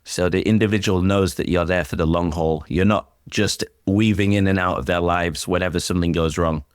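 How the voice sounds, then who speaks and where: A man speaking as a sports chaplain in an interview, explaining things in an earnest, reflective and measured way. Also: sits low, steady tone, clear, resonant tone, no room sound, clean studio sound.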